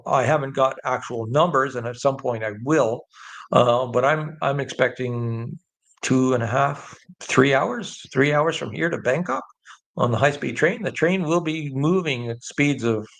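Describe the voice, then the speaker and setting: A man's voice: mid-range voice, creaky, a bit bright. An older Canadian man from the Ottawa Valley hosting a casual live stream, rambling with many ums and restarts. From Canada, Ottawa Valley in Ontario. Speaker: livestream host.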